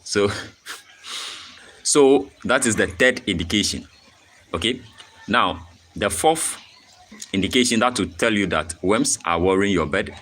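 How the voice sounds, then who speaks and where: A man's voice: fairly low pitch, expressive, measured pace, long pauses, fairly bright tone, a little hiss or hum. A young Ghanaian man hosting a live-stream farming tutorial, explaining things step by step and checking in with a frequent "okay?". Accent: Ghanaian English. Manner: repetitive, frequent "okay?" check-ins, reads chat aloud. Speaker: poultry farmer and channel host.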